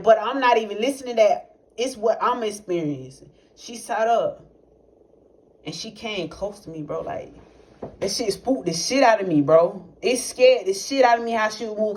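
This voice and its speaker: A man's voice: sits high, expressive, moderate pace, darkish tone. A young man speaking tells a personal testimony in casual, rambling, profane talk, full of fillers and restarts. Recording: noisy recording with clicks.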